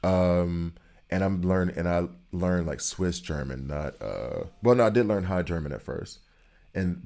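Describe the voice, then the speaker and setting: American guy, low deep voice, rambling and joking with chat while gaming. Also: mellow. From United States. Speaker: streamer chatting with viewers. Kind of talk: casual gameplay chatter.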